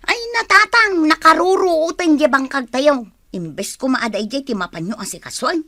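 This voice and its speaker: A woman's voice: high voice, expressive, moderate pace, bright, forceful tone, no echo, clean studio sound, exaggerated voice. A woman with an Ilocano accent playing a worried, whining young daughter in a radio drama.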